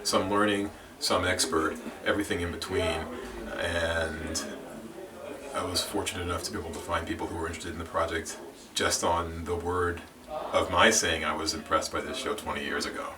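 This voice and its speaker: A man's voice: sits low, little pitch movement, moderate pace, crowd noise. A man, the organiser of a project, talking informally about it. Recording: distant mic, chattering people behind.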